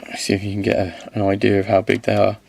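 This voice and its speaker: A man with a slight cold-hoarse voice, casually reviewing miniatures, rambling and correcting himself as he goes. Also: on the low side, monotone, fast, darkish tone, a little hiss or hum. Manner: rambling, self-correcting, counts aloud.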